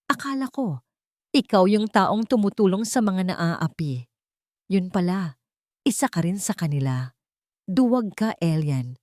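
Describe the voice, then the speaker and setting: A woman's voice: fairly low pitch, big pitch swings, unhurried, long pauses, darkish tone, no room sound, clean studio sound. A woman narrator voicing a hurt, defiant young woman in an audiobook drama, speaking firmly and emphatically. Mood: hurt, accusing. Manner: firm, emphatic.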